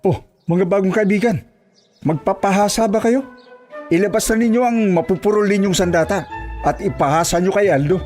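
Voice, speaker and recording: A man's voice: high voice, a little fast, darkish tone, faint music behind, lightly acted. A man playing a boisterous, joking best buddy in a horror audio drama, teasing and bantering. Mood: playful warning.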